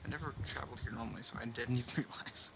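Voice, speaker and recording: Quick-talking male game streamer. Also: mid-range voice, fairly even intonation, background noise. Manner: thinking aloud. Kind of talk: casual gameplay commentary. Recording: game ambience behind the voice.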